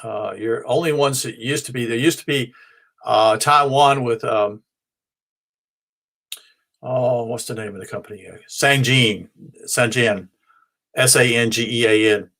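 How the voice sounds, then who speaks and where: A man presenting in an informal, unscripted explanatory way, rambling a little and often pausing to search for his words. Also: mid-range voice, a bit bright. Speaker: presenter of a ham and shortwave radio show.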